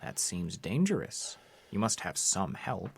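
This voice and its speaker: Low, gravelly, formal interrogator. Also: male voice, sing-song, heavily acted. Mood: suspicious, pressing. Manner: clipped, formal, measured questioning. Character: patient, probing male interrogator, formal and persistent. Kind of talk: audio drama acting.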